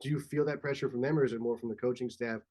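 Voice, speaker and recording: A man's voice: medium pitch, fairly even intonation, rapid-fire, mellow. Man, podcast host, enthusiastic, curious questions, casual chat.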